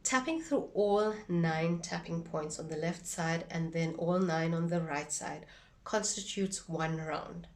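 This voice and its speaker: A woman giving an instructional demonstration in a measured, deliberate way, phrase by phrase. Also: lowish voice, distant mic. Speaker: instructor demonstrating a tapping technique.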